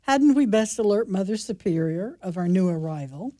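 A woman's voice: mid-range voice, expressive, moderate pace, dark tone, no room sound, studio quality, natural voice. A woman playing a fussing, pious nun in a radio play. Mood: fussing, wry. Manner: lilting, breathless, pious exclamations. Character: spry, fussing Irish-style nun, adult woman. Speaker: actor in a community radio play.

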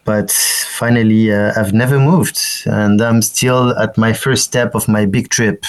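A man in his forties with a French accent, a festival director talking as a radio guest, halting with many restarts and fillers. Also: lowish voice, steady tone. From France. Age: 40s.

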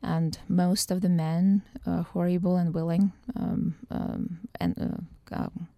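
A woman from Ukraine, a political science professor, answering radio interview questions thoughtfully with frequent pauses and fillers. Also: lowish voice, flat delivery, creaky, warm tone, no room sound. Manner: thoughtful, with many hesitations and fillers.

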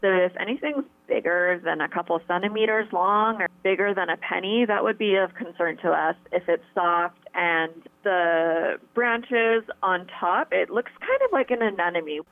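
A female biologist speaks carefully and patiently, pausing now and then as she explains. Her voice comes through a tinny phone line. The sound is dry, with no room around it. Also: fairly low pitch, no echo. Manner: explanatory, careful, slightly hesitant. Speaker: state biologist. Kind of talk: interview answers.

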